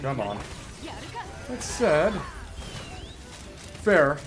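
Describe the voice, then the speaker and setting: A man's voice: on the high side, sing-song, very slow, soft background music. A man livestreaming a game, thinking aloud in bookish wording as he chats casually with viewers and wanders between topics. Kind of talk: casual gameplay commentary, chatting with viewers.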